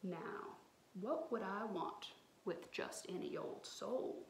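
A woman's voice: medium pitch, slow. A woman voicing a sly, wheedling male swamp devil from a storybook, in a sneering, hissed half-whisper. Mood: sly, menacing. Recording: roomy echo, some background noise.

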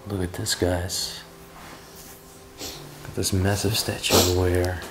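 A man's voice: low voice, level tone, a little slow, very noisy. A young man exploring an abandoned castle, pointing out old objects to viewers as he walks through the rooms. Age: young adult. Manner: marveling, points things out to viewers. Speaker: urban explorer vlogger. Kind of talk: casual walkthrough commentary. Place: large abandoned castle indoors.

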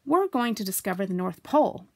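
A woman's voice: medium pitch, sing-song, brisk, no room sound. A woman voicing a confident, carefree, fondly bossy young boy in a storybook, explaining things breezily. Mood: breezy, instructing.

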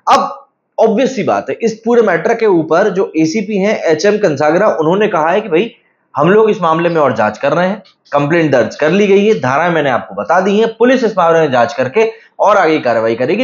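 Guy in his 30s doing a news explainer, pretty high voice, emphatic, bit dull lapel mic sound. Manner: emphatic, storytelling with rhetorical asides. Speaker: news commentary host. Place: room with brick-pattern wall. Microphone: clip-on lapel mic. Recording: roomy, slightly dull sound.

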